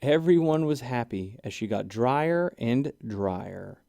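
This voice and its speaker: Man, children's read-aloud, lively swooping pitch, mellow tone, expressive storytelling. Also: slow, creaky, no echo, studio quality. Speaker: children's book reader. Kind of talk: animated scripted read-aloud of a rhyming storybook.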